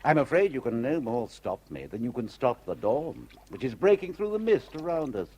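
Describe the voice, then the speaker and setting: An actor playing a suave, condescending villain in a radio drama, urbane and patronising with old-fashioned upper-class phrasing. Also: male voice, mid-range voice, very animated, normal speed, mellow, a little hiss or hum. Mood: coolly gloating. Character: suave, condescending male villain, ruthless and money-minded.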